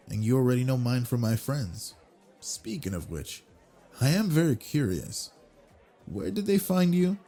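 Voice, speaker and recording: A man voicing an obsessive yandere man on a blind date, talking with quiet, smooth charm in a scripted audio roleplay, close on the mic. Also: mid-range voice, exaggerated voice. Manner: quiet brooding inner monologue, then smooth flirty charm.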